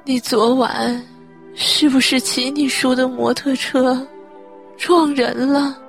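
A woman's voice: high voice, fairly even intonation, faint music behind, heavily acted. A woman playing an anxious, tearful, upright widowed mother in a Chinese radio drama, sighing and pleading. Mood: anguished, reproachful. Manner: sighing, pleading, slow and breathy.

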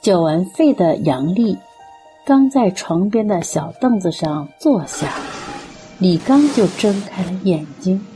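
语速缓慢、音色明亮度低的女旁白. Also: female voice, lowish voice, animated, unhurried, warm tone, soft background music. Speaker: narrator. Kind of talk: scripted narration of an audio drama.

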